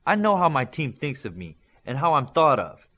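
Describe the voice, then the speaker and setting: A man voicing a sharp-tongued, proud teenage girl who answers back defiantly in an audiobook. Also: medium pitch, sing-song, moderate pace, pitched above the performer's own voice. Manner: defiant, cutting.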